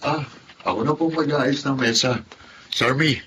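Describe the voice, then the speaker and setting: A man's voice: medium pitch, moderate pace, natural voice. An actor playing a mild, respectful young married man in a Filipino radio drama. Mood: polite, casual. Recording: light background noise.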